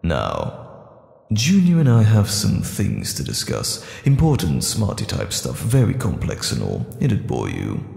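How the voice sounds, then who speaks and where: A monstrous, mocking alter ego with a bottomless, gravel-filled voice. He drawls slowly and theatrically, full of menace, swooping dramatically in pitch. The audio roleplay echoes like a stairwell. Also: huge pitch swings, a little mellow, full character voice. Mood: smug, dismissive.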